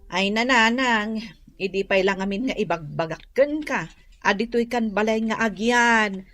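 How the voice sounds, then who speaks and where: An actress with an Ilocano accent playing a firm, exasperated, protective grown daughter and elder sister in a radio drama. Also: female voice, medium pitch, unhurried, fairly bright tone, faint music behind, close to an everyday voice.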